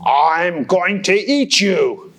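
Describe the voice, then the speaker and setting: A storyteller voicing a hungry, grumpy troll guarding a bridge, with a low gruff delivery. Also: expressive, extremely slow, fairly bright tone, full character voice.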